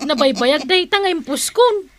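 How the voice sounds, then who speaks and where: Casting: petty, nagging Filipina, very high bright voice, radio comedy drama. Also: female voice, expressive, normal speed, no echo. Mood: nagging, peeved. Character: vain, petty, demanding woman obsessed with likes.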